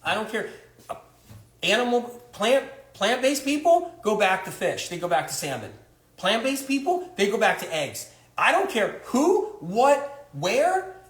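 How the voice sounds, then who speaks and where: A man's voice: sits high, big pitch swings, unhurried, fairly bright tone, roomy sound. A man hosting a livestream monologue, emphatic and repetitive, firing rhetorical questions at viewers. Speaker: health author hosting a livestream.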